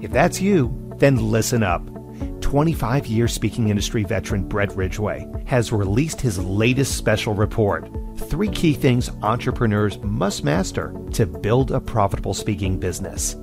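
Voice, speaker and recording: Music plays under a man's gravelly voice. He delivers a scripted advertisement with lively, swinging intonation, in the style of a promo announcer. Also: on the low side, moderate pace.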